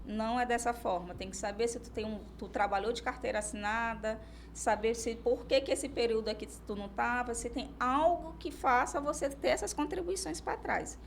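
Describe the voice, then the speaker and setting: Higher-voiced Brazilian woman explaining informally. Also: fairly quick, vocal fry, slight background noise. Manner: explains through hypothetical examples and imagined client dialogue. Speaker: lawyer, expert guest. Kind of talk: informal explanatory podcast discussion.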